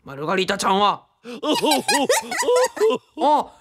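A woman's voice: high-pitched, wildly swooping pitch, very slow, noisy background, full character voice. A woman playing a friendly, easily flustered young man in a radio drama, muttering and exclaiming with a Kyushu Japanese accent. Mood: teasing. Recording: music behind the voice. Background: music.

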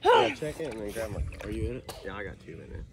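A man, talking excitedly while standing in a lake, filmed from a boat nearby. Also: fairly low pitch, fast talker, over a noisy crowd. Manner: excited exclamations. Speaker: fishing buddy. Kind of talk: casual outdoor chat. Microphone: camera on a boat nearby. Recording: loud water and wind noise.

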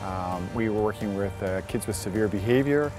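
A man in his fifties, a teacher and program co-founder, speaking in a measured, hesitant way in an outdoor interview. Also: lowish voice. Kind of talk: interview testimonial. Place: outdoors in a park. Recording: guitar music behind.

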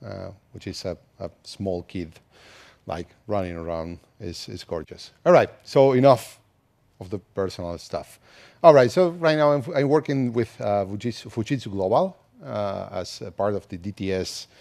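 Argentine man giving a relaxed tech talk. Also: deep voice, gravelly. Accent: Argentine Spanish. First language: Spanish. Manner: relaxed, conversational, with many restarts. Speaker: conference speaker. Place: conference hall, indoors.

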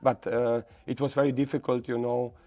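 A middle-aged man, a native Croatian speaker, talking as a guest in English that halts and repeats words, sometimes getting animated when telling a story. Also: mid-range voice, a little fast. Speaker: football coach, guest. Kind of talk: casual interview in non-native English. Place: dark studio set with red armchairs. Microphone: lapel mic.

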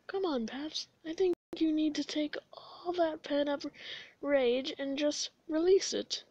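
A woman voicing a laid-back, weary skeleton brother in a comic dub, with a casual, low-key delivery. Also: takes it slowly, a little mellow, no echo, lo-fi sound. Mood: amused, relaxed.

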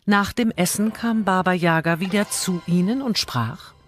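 A woman's voice: lowish voice, moderate pace, soft background music. A woman narrating a fairy tale from a script as a storyteller.

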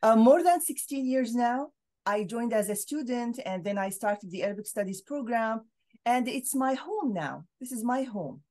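A woman's voice: on the high side, expressive, moderate pace, mellow. A middle-aged woman from Syria, a scholar speaking as a guest on a video call, explaining her views earnestly with frequent fillers. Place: home room with bookshelves.

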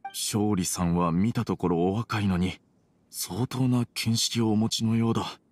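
A man's voice: fairly low pitch, fairly even intonation, measured pace. A man playing a worried middle-aged tea merchant and father in an anime game, earnest and reserved. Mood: respectful.

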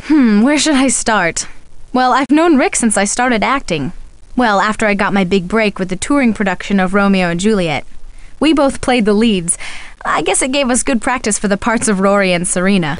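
Casting: friendly young American soap starlet for an adventure game, played by a woman, highish voice, earnest and expressive, dry close sound. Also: no echo, lightly acted. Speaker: video game character voice actor. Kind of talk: scripted game dialogue.

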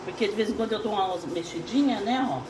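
A woman's voice: mid-range voice, fast talker, echoey room. Fifty-something lady chatting while she cooks, laughs a lot, kitchen noise behind her. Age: 50s. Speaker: home cook hosting a live stream. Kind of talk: casual cooking chat. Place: home kitchen, indoors. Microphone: phone camera.

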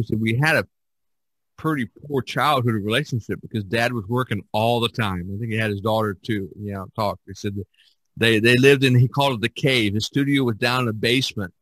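Older guy rambling on a podcast, opinionated, pretty dry sound, normal pitched voice. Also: fairly bright tone, no echo, low-quality recording. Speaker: podcast host. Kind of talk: casual podcast discussion.

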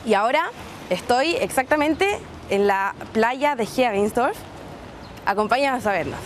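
Una mujer joven de Chile con acento chileno, que presenta un reportaje de viaje al aire libre y le habla con entusiasmo a la cámara. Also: female voice, on the high side, lively intonation, a little slow. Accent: Chilean Spanish. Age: young adult. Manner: chatty, enthusiastic, addresses viewers directly. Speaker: exchange student presenting a travel segment. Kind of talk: casual travel presenting to camera. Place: outdoors in a seaside resort town and on a beach.

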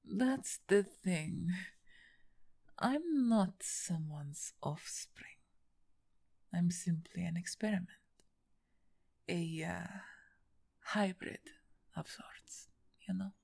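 A woman acts out a big, strong half-orc woman, injured and grateful, for an ASMR roleplay. Her voice is low and full of gravelly creak, with a breathy edge. She talks slowly and haltingly. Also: full character voice. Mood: weary, hesitant. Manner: hesitant, soft-spoken, halting with pauses.

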